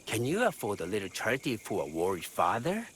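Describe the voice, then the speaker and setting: Low, animated, wheedling village father. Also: brisk, a little hiss or hum. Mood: pleading. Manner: polite, formal, ingratiating. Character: humble, fussy, wheedling village father pleading poverty. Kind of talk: game dialogue acting.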